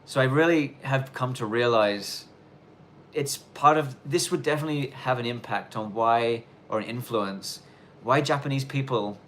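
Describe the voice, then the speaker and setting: A man in his thirties with a blended Australian and London accent, with a trace of Irish twang, talks through viewer questions on a casual live stream. He rambles and corrects himself mid-sentence, yet he works to keep every word clear, in a voice of ordinary pitch. Faint background noise sits under him. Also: a bit bright. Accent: mixed Australian and London, with some Irish twang. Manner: rambling, self-correcting, deliberately clear delivery. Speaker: livestream host answering viewer questions.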